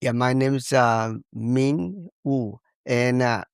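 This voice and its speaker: An older man from China with a Chinese accent, telling his story as a podcast guest in simple, halting non-native English. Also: mid-range voice, creaky, clear, resonant tone, dry recording, studio quality. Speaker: guest, traditional Chinese medicine practitioner. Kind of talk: podcast interview, personal storytelling.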